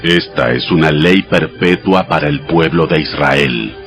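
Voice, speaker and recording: A man voicing God in a dramatized audio Bible, giving sacred commands in a measured, solemn, instructive way. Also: extremely low pitch, a bit bright, exaggerated voice. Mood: solemn, commanding. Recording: studio voice over background music.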